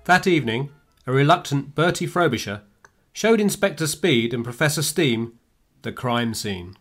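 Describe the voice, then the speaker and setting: A man's voice: mid-range voice, lively intonation, normal speed, a bit bright. A man narrating a scripted radio drama. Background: music.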